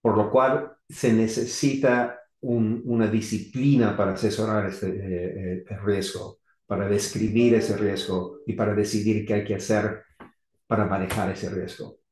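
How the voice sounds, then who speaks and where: A middle-aged man from Argentina, now living in the US, giving an unscripted technical talk on electrical safety in halting, rusty Spanish full of restarts and fillers. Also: lowish voice, level tone, a little mellow. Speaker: electrical safety engineer presenting. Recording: echoey room.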